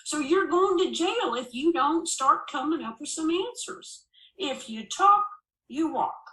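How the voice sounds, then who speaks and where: A man's voice: extremely high pitch, animated, a little slow, pushed and bright, pitched above the performer's own voice, full character voice. A woman narrator acts out a small-town sheriff in an audiobook, stern and folksy. He needles and talks down to whoever he is questioning, with sarcasm in a raised, pushed voice. The room rings with echo. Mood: stern pressure. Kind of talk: audiobook character dialogue. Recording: echoey room.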